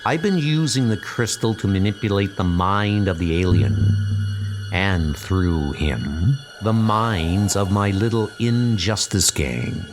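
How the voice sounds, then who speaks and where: Man as low-voiced, calculating billionaire villain. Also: lively intonation, slow pace. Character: cold, calculating male billionaire supervillain narrating his scheme. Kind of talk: comic book dub monologue. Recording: music bed under the voice.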